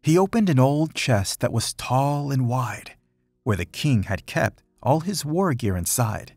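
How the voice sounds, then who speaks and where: Husky, animated man reading a storybook. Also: medium pitch, a little slow, no room sound. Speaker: storybook reader. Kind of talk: children's picture book read-aloud, rhyming verse.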